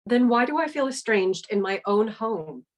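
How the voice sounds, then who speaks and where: A woman's voice: a little high, animated, moderate pace. A woman reading a hurt, accusing wife. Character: hurt wife, stern and wounded. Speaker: table-read actor. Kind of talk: script table read.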